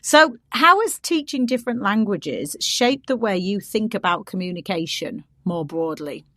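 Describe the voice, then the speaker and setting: A woman's voice: medium pitch, lively intonation, normal speed, fairly bright tone, no room sound, studio quality. A woman hosting a podcast, asking long, winding, curious questions in casual conversation. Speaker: podcast host. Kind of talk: casual interview chat.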